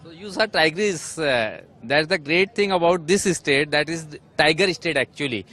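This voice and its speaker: A man's voice: on the high side, normal speed, pushed and bright. Male reporter asking short questions. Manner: short prompting questions, many fillers. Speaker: reporter interviewing tourists. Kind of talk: street interview.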